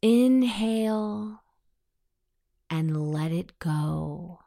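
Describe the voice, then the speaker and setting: A woman leading a guided self-hypnosis meditation in a soothing, gentle, measured way. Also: on the low side, lively intonation, creaky, no room sound, studio quality.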